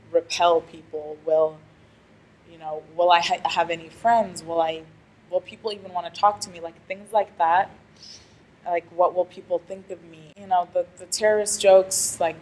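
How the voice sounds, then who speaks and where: A woman's voice: on the low side, low-quality recording. A young woman from Algeria, a student, giving hesitant, earnest interview answers with many restarts. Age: young adult.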